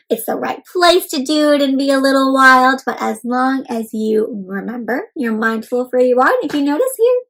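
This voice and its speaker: A woman hosting an interactive picture-book read-aloud for young children, expressive and speaking directly to her listeners with questions. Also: high-pitched, gravelly, low-quality recording.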